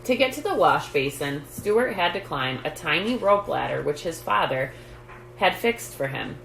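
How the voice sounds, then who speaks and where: Low-voiced, creaky woman reading a storybook. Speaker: storytime reader, host. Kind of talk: reading a children's book aloud. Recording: roomy echo, light background noise.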